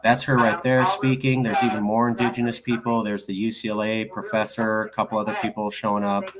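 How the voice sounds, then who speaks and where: A man's voice: on the low side, level tone, fairly quick, crowd noise, rough recording. A man commentating over video clips of his group's work, emphatic and repetitive in a promotional way. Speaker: advocacy group leader.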